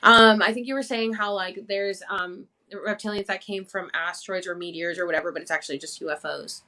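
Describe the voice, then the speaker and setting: A woman's voice: medium pitch, steady tone, a little fast, sharp tone. A woman hosting a livestream chat who asks hesitant questions padded with "um". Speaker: host.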